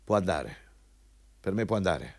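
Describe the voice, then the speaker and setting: Contemptuous low-voiced male boss. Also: animated, normal speed, gravelly, dry recording, studio quality, full character voice. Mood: dismissive. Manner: scornful, dismissive, barking questions. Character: contemptuous, bullying male company recruiter, foul-mouthed anti-communist. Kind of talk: radio drama acting.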